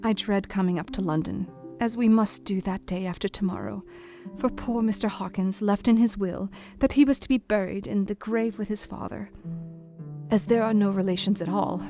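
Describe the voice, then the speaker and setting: A woman's voice: medium pitch, gravelly, lightly acted. Woman as a tender, worried young Victorian wife. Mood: anxious, confiding. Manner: earnest, gentle, formal. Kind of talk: dramatised letter reading in audio drama. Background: guitar music.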